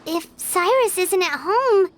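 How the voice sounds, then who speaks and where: A woman voicing a tiny, excitable childlike companion in video game dialogue, with a squeaky, chirpy voice. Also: slow pace, soft background music, exaggerated voice. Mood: chatty, curious.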